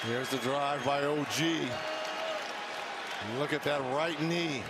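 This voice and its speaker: A male colour analyst with a high voice breaks down a live basketball game. He is heard over a very loud crowd and arena noise. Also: slow.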